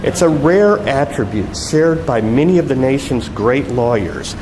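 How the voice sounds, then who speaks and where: A man voicing a pompous, cynical woman politician in deliberate speech, reading from a novel. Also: mid-range voice, lively intonation, voice pushed up. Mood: smug. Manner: slow, deliberate. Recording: echoey hall with loud background noise.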